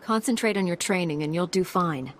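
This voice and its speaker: An actress playing a composed, frank young Jedi apprentice in a video game. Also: female voice, fairly low pitch, fairly quick, a little hiss or hum, lightly acted. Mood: dutiful. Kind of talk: scripted video game acting.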